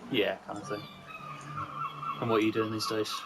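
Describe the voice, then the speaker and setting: Casting: male actor as reticent young student, low, monotone, noncommittal film chat. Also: moderate pace, heavy background noise, natural voice. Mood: guarded. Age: young adult. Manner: understated, noncommittal. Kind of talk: film dialogue, casual chat.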